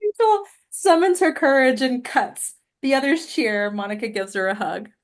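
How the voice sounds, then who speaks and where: Woman with highish voice reading directions. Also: animated, moderate pace. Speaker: stage directions reader. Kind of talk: scripted narration at a table read.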